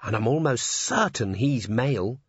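A man's voice: mid-range voice, lively intonation, measured pace, slightly rough, no echo. A man voicing a shy, nervous young female dwarf who stammers and hesitates. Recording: slightly dull lo-fi audio.

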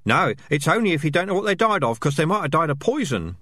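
A man's voice: very animated, fast talker, a bit bright, dry recording, studio quality. A man voicing twittering, fretful little rats. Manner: bickering, nervous, high-pitched chatter. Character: anxious squabbling small male rats in a squad. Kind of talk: audiobook character voices.

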